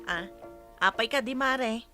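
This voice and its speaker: A woman's voice: fairly high pitch, measured pace, over loud music, natural voice. A woman playing an affronted, defensive neighbour in a radio drama, protesting. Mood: taken aback. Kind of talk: Philippine radio drama acting.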